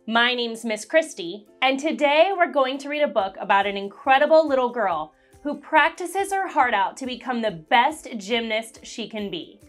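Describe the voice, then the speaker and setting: A woman reading a children's picture book aloud, slow, clear and expressive, as host of a read-along show. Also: on the high side, faint music behind. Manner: animated, slow and clear storytelling.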